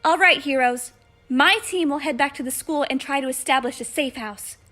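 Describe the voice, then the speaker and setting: Woman as a high, bright, commanding teen heroine. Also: moderate pace, soft background music. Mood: urgent, commanding. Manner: commanding, energetic. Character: take-charge teenage superhero girl. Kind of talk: fan audio drama acting.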